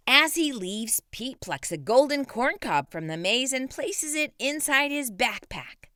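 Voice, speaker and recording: Lively woman reading a kids' book. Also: sits high, a little slow, dry recording, clean studio sound. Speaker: children's book reader. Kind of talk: read-aloud storytelling.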